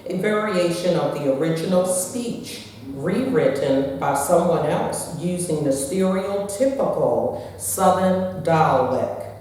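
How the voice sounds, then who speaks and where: A woman reads a history report aloud at a very slow, careful pace. She is heard from far off in a cavernous, echoing hall with long reverb and faint background hiss. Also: fairly low pitch, animated, warm tone. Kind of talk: reading a prepared history report.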